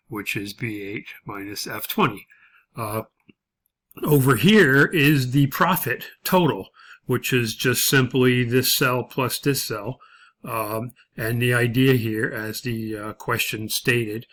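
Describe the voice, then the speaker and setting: A man instructing through an unscripted spreadsheet walkthrough, explaining things in a halting, matter-of-fact way. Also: medium pitch, fairly bright tone.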